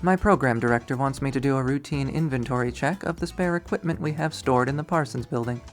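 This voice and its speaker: A man voicing a composed, formal college woman with dry wit and measured, precise speech in a visual novel. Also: mid-range voice, faint music behind, natural voice. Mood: businesslike.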